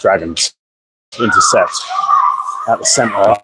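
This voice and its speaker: A British man with a croaky voice from a cold, chatting and then commentating live on an amateur ice hockey game from a small media box. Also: on the low side, takes it slowly, very noisy. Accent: British English. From Britain. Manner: chatty and apologetic, excitable on goals.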